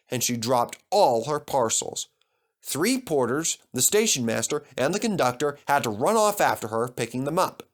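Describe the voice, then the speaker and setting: A man reading a scripted children's story aloud as its narrator. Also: medium pitch.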